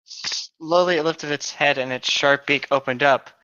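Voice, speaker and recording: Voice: mid-range voice, measured pace, pushed and bright, smooth, no echo. A speaker narrating fan fiction aloud with casual banter, on slightly muffled audio. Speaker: host reading fan fiction.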